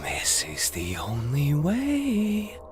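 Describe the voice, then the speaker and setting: An anguished, vengeful tomcat in an animated drama. The delivery is very slow and halting, leaping from breathy stammers to raw, high outbursts. Music plays clearly underneath. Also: male voice, full character voice. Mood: despairing, goading. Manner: breathy gasps, stammering, swings into shouting. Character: bitter, anguished male warrior cat, vengeful and unravelling. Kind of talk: animated fan drama voice acting. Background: dramatic background music.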